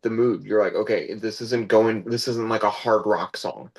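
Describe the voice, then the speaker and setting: A man speaks in a low-pitched voice during an informal group discussion. He explains his opinion conversationally, building the point up piece by piece. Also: fairly even intonation, moderate pace, breathy edge. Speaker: participant in actors' discussion.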